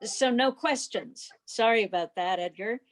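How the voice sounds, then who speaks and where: A woman's voice: on the high side, a little slow. A woman informally moderating questions in an online club meeting.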